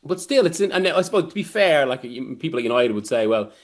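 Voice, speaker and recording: A man's voice: mid-range voice, sing-song. A man giving football analysis as a guest journalist, rambling and often interrupting himself as he works through a point. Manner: halting, rambling, self-interrupting delivery. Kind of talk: sports discussion, analysis.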